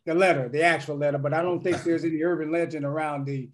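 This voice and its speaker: A man speaking in a measured, preacherly cadence on a video call panel. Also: on the high side, fairly even intonation. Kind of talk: reflective panel discussion. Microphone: webcam on video call.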